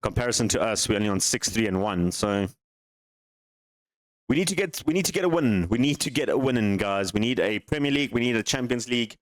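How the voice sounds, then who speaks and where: A man's voice: medium pitch, expressive, a little fast, no echo, low-quality recording. A man hosting a gaming channel, casually commenting on his game save as he thinks aloud, rambles and questions himself.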